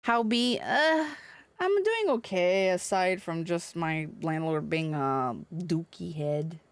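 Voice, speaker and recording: A woman's voice: lowish voice, expressive, slow, no echo. A woman livestreaming a video game, chatting casually and reading dialogue aloud in an irreverent, blunt, sweary manner.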